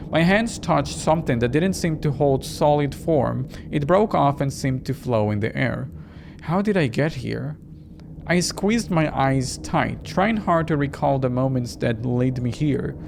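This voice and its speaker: A narrator reading a first-person horror story dramatically, in a measured, suspenseful way. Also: mid-range voice, expressive, gravelly, noisy background. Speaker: game narrator and reader.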